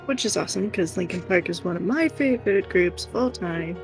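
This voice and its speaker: A man's voice: high-pitched, expressive, a little fast, gravelly. A man bantering casually as a co-streamer on a livestream. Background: piano music.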